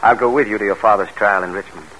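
An actor playing an earnest, indignant, protective husband in old-time radio drama, urgent and blunt. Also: male voice, on the low side, fast, fairly bright tone, lightly acted. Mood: solemn. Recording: noisy vintage radio recording.

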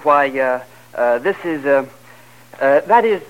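An actor playing a flustered, evasive husband in old radio comedy style, stammering and blustering. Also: male voice, mid-range voice, expressive, takes it slowly, dull audio. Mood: nervous, stammering. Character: flustered, evasive husband caught between two wives. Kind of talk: 1940s radio comedy acting. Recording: noisy old radio broadcast recording.

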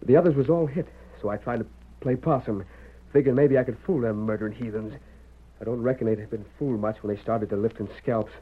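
A man's voice: medium pitch, fast, natural voice. An American actor playing an exhausted, dutiful frontier husband and father with a folksy Western drawl, in old-time radio style. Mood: grim, shaken. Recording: muffled narrowband vintage radio recording.

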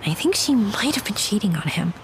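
A woman's voice: medium pitch, sing-song, fairly quick, a little hiss or hum, low-quality recording. A woman playing a gushing, nosy, infatuated young actress in an audio drama, confiding and impulsive. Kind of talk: naturalistic audio drama acting.

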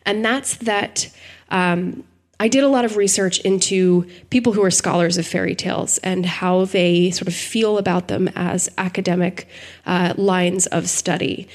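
A woman's voice: fairly low pitch, steady tone, a little slow. Articulate woman in thoughtful podcast discussion. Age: adult. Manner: articulate, eloquent, thoughtful phrasing. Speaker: podcast co-host. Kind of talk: reflective analytical discussion.

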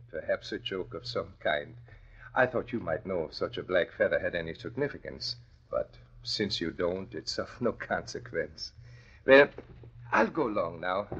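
A grave, earnest immigrant doctor with careful formal speech. The voice is lowish and husky. It has the style of 1940s radio drama and a dull old recording. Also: male voice, expressive, a little slow, fairly bright tone, exaggerated voice. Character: earnest immigrant doctor, survivor of Nazi prison camp.

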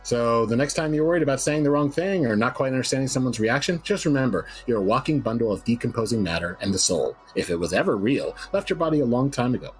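A man's voice: mid-range voice, animated, fast, few pauses, breathy edge, soft background music. A man playing a bleakly nihilistic talk-show psychologist in a dramatic reading, lecturing a caller in a blunt, condescending manner. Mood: bleakly matter-of-fact.

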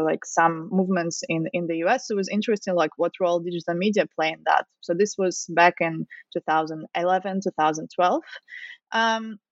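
A woman's voice: on the low side, dry recording, dull audio. A woman from Russia in her thirties, a researcher answering interview questions thoughtfully, with frequent fillers and restarts. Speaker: guest author, postdoctoral researcher.

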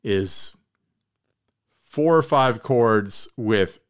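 A man chatting casually as a podcast co-host, telling stories in an animated way and slipping into mock quotes. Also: lowish voice, very slow, smooth. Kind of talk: casual chat about hobby gear.

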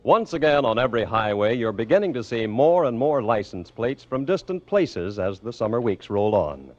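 Old lo-fi radio clip, american guy announcer, 1950s style, polished n measured, pitch goes up and down a lot. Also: big pitch swings, a little mellow, faint music behind. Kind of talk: 1950s radio announcing, scripted narration and sponsor ads. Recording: dull, band-limited old radio recording.

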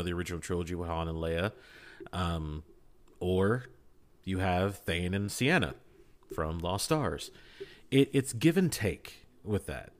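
Low voiced guy on a podcast, chatting casually, earnest and kinda rambly, leans on his points. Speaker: podcast panelist. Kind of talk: casual fan discussion.

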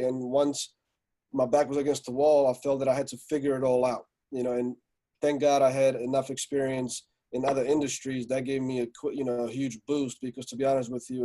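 A man from Slovakia in his forties, a guest on a podcast, telling his story in a relaxed, rambling way with many fillers. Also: mid-range voice, fast. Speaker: guest, music entrepreneur. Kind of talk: casual podcast interview.

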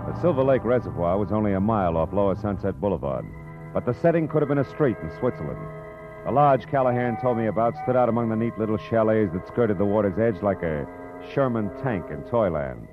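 A man's voice: on the low side, animated, brisk. A man playing a laconic, wisecracking private detective who narrates in a 1940s radio drama. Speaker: hardboiled private eye narrator. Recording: dull old radio recording with music.

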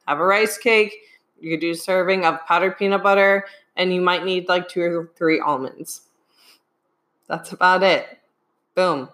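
Hoarse woman, coach asking "okay?". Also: lowish voice, fairly bright tone. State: hoarse, getting over a sinus infection. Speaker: fitness coach. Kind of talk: live-streamed advice talk.

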